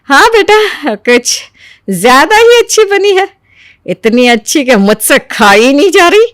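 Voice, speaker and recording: A woman playing a wary middle-aged Indian mother-in-law in an animated moral story, grumbling in exasperated asides and retorts. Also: high-pitched, measured pace, no echo, studio quality, heavily acted. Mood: nervous politeness.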